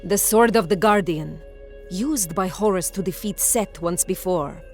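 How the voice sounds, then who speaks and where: Low, resolute warrior priestess. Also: female voice, unhurried, soft background music. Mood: commanding. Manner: grave, commanding. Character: proud, resolute warrior priestess of ancient Egypt. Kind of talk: video game cutscene acting.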